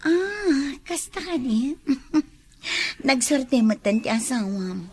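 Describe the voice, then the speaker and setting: A woman playing a bubbly, scheming young woman in an Ilocano radio drama, giggly and animated. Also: high voice, slow, exaggerated voice. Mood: coy, teasing.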